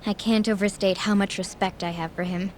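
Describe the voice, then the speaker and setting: Actress as game scientist: mid register, polite, earnest, formal, slight background ambience. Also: female voice, mid-range voice, fairly even intonation, darkish tone, a little hiss or hum, close to an everyday voice. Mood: earnest, admiring. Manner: measured, formal, courteous. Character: polite, earnest, reserved adult female researcher. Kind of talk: English video game dub acting.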